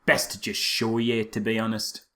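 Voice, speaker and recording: A man's voice: fairly low pitch, moderate pace. A man co-hosting a podcast, giving casual comedic film commentary and mimicking lines mockingly. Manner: animated retelling with mocking mimicked quotes. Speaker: podcast co-host.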